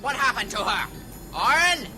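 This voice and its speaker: An actor playing an anguished, headstrong wizard in a high fantasy audio drama, with loud music behind. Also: male voice, sing-song, normal speed. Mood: alarmed, anguished.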